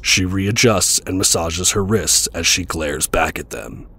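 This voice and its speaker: A man with a husky voice narrating a scripted audio drama. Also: deep voice, unhurried, pushed and bright.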